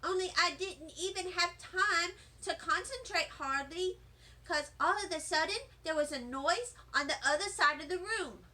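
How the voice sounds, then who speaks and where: A woman reading a children's storybook as an excitable, dramatic little first-grade girl, with childlike grammar and emphatic delivery. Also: extremely high pitch, normal speed. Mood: earnest, dramatic. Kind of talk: children's storybook read-aloud.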